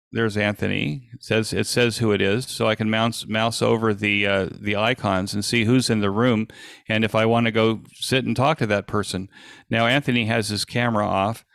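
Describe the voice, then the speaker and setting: Guy doing a casual online demo, gravelly fry in his voice, stop-and-start explaining, really dry sound. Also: fairly low pitch, steady tone, moderate pace, fairly bright tone, dry recording. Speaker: event organiser and presenter. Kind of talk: informal live software demo presentation. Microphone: online video call.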